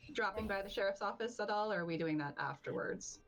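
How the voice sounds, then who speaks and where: A woman's voice: medium pitch, fast, creaky. A woman playing a live tabletop roleplaying game, casually asking questions. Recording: faint music behind the voice.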